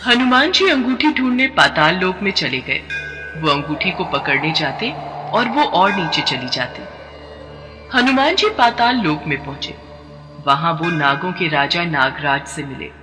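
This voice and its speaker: A man narrating a devotional story in a steady, expressive way. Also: a little high, measured pace. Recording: long reverb over background music.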